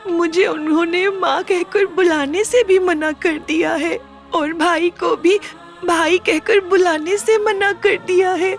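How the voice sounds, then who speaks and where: Woman as a very high, slow-spoken timid girl, music behind. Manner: soft, pleading, slow. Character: mistreated young girl, timid and pleading. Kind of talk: melodramatic web drama acting.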